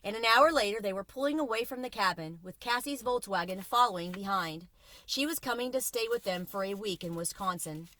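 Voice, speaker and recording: A woman reading a children's story aloud as host, with expressive, emphatic delivery. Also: medium pitch, brisk. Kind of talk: children's audiobook read-aloud.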